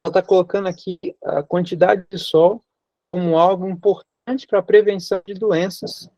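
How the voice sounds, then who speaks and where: A young man with a Brazilian Portuguese accent teaches in a loose, conversational way, circling back with fillers and repeating points to drive them home. His voice sits fairly high for a man, and the recording is dry and close with no room echo. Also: steady tone, normal speed, warm tone, muffled recording. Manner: repeats and rephrases for emphasis, frequent fillers. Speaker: health teacher. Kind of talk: informal teaching talk.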